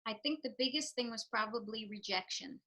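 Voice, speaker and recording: A woman's voice: on the high side, a little fast, sharp tone. A woman with a New York accent, a real estate professional giving earnest, reflective interview answers with frequent fillers.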